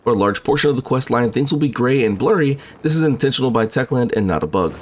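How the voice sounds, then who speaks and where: A man narrating a scripted game walkthrough in a brisk, instructional way. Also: mid-range voice, lively intonation. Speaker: gaming video guide host.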